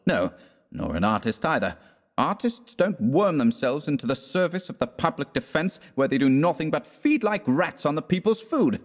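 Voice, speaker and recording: A man's voice: on the low side, moderate pace, a little mellow, natural voice. A man voicing an angry young American man in a storybook, blunt and contemptuous, sneering and growling threats. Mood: scornful anger. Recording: long reverberant room echo.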